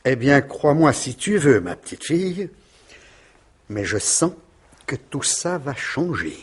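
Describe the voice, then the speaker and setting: A man's voice: mid-range voice, big pitch swings, takes it slowly, husky, full character voice. An actor playing a meek retired uncle in his sixties, long henpecked, in a radio mystery play. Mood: resolute. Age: 60s.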